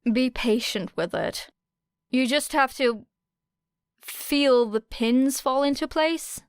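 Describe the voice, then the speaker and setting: A woman's voice: high-pitched, slow pace, clean tone, no room sound, clean studio sound. A woman voicing a matter-of-fact teenage girl in a plain, practical manner.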